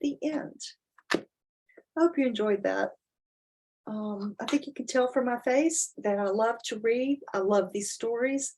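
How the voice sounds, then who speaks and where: A woman with a slightly husky voice, reading a children's story expressively and chatting informally about it. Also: fairly high pitch, unhurried, darkish tone. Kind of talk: dramatic storybook reading with casual explanatory asides.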